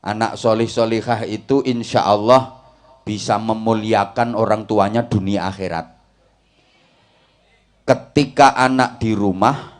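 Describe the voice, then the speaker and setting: A man with a Javanese accent giving a humorous Islamic sermon in a folksy, rhetorical way, often checking that his listeners follow. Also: on the low side. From Java, Indonesia. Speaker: Islamic preacher giving a talk to a congregation.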